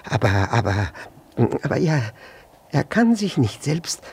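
A man's voice: medium pitch, sing-song, measured pace, darkish tone, husky, full character voice. A male actor in a German radio play, playing a flustered, jealous, nervously polite middle-aged husband who stammers and repeats himself. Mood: flustered, hesitant. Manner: stammering, hesitant, repeats words, fussily polite.

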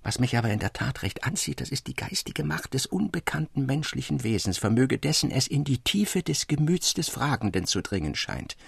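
A man's voice: mid-range voice, very animated, moderate pace, creaky, few pauses, breathy edge, no echo, exaggerated voice. A man reading the part of an earnest, intense young scholar in a literary story, with solemn, formal phrasing. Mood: fascinated, solemn.

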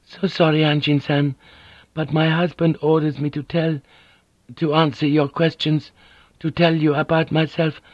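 A man voicing a gentle, composed Japanese noblewoman who speaks softly and formally. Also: fairly high pitch, little pitch movement, measured pace, dry recording, dull audio, voice pushed up, close to an everyday voice. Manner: soft, formal, careful speech.

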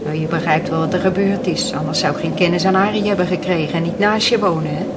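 An actress as a sorrowful fisherman's widow, low-voiced. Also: fairly even intonation, fast talker, close to an everyday voice. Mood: reminiscing. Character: sorrowful twice-widowed fisherman's wife, mother, telling her losses. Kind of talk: radio drama acting. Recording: noisy old radio play recording.

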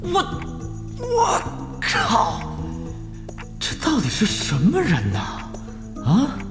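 An animated, flattering young gamer guy. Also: very high-pitched, drawn-out words, in a large hall, music in the background, full character voice. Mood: exasperated, grumbling. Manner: ingratiating, animated, with muttered exasperated asides. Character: eager young male gamer and guild member, flattering, exasperated haggler. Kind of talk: Mandarin audio drama acting.

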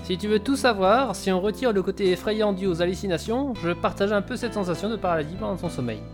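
A man's voice: sits high, fast, music in the background, lightly acted. A man playing a wry, sarcastic spirit who lives in a young woman's head, in an audio drama.

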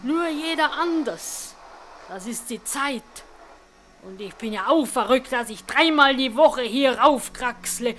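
A woman's voice: high-pitched, unhurried, a bit bright, a little hiss or hum. An actress playing a grumbling, good-hearted cleaning woman who gossips in a folksy, self-satisfied way in a radio drama. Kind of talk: German radio drama monologue.

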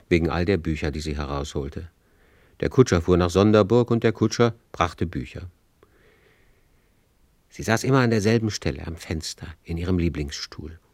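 A man narrating a literary radio play in a measured, sober storytelling manner. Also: low voice, darkish tone, no room sound.

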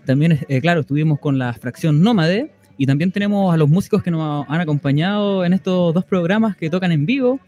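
A man's voice: fairly high pitch, fairly quick, few pauses, mellow. A man with a Chilean accent presenting an informal radio show as co-host.

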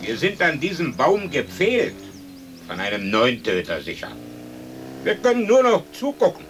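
Distant, bitter cynic. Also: male voice, on the high side, wildly swooping pitch, normal speed. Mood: bitter, bored. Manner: sardonic, disgruntled. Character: bitter, fatalistic cynic pinned to a tree. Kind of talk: German radio play acting. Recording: distant voice on old radio recording, faint noise.

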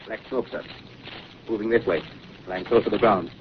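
An actor playing a dutiful artillery observer, giving short, clipped reports in a 1930s radio drama. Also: male voice, lowish voice, normal speed, natural voice. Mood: alert, tense. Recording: very noisy, dull vintage radio recording.

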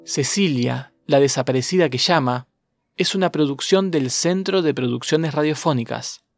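A man's voice: on the high side, moderate pace, vocal fry, dry recording, clean studio sound. A man reading scripted documentary narration. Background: guitar music.

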